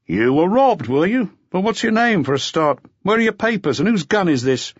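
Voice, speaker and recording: A man's voice: sits high, sing-song, normal speed, no echo, highs cut off, voice pushed up. Uniformed policeman, brusque and demanding, acted by a male reader.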